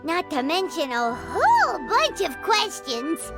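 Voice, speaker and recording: A woman's voice: extremely high pitch, wildly swooping pitch, slow, over music, heavily acted. A woman voicing a small, childlike female fairy companion in a video game, bubbly, chatty and curious. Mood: puzzled, chatty. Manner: bubbly, exclaiming, speaks of self in third person. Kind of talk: video game character dialogue.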